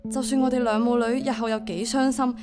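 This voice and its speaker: A woman's voice: on the high side, fairly even intonation, a little fast, music in the background, lightly acted. An actress playing a devoted, vulnerable young single mother in a Hong Kong Cantonese audio drama, speaking in a heartfelt way. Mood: moved, resolute. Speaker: voice actor. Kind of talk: audio drama acting with first-person narration.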